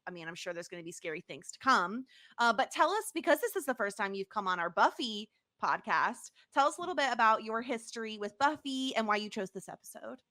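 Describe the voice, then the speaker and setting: Bright, chatty woman podcast host. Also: medium pitch, no echo, clean studio sound. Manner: chatty and informal. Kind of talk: casual pop-culture podcast chat.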